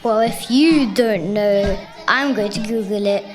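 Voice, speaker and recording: A woman's voice: mid-range voice, a little slow, over music, lightly acted. A young female performer playing a sleepy, puzzled, game-obsessed school pupil in a radio play. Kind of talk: scripted radio play acting.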